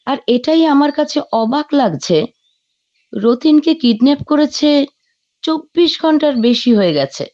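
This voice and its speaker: A woman's voice: high-pitched, lively intonation, measured pace, warm tone. A woman playing a genteel, polite wife of an estate owner in an audio drama, on a dull lo-fi recording. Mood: worried. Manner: polite, hospitable. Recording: dull, lo-fi voice track.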